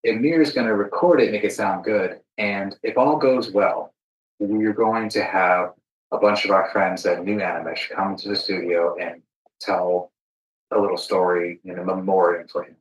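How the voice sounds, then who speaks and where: A man with a distinctly low voice hosts a conversational podcast. He speaks in a reflective, halting way, often doubling back on words. The room adds a clear echo around his voice. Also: fairly even intonation. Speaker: podcast host.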